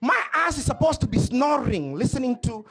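A man from Kenya doing stand-up comedy on a club stage, loud and animated, joking with the crowd. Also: very high voice, brisk, noisy background.

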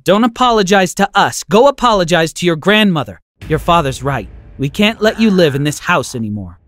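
A man voicing a middle-aged mother who is stern and disbelieving in an animated story. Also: high-pitched, a little fast, pitched above the performer's own voice.

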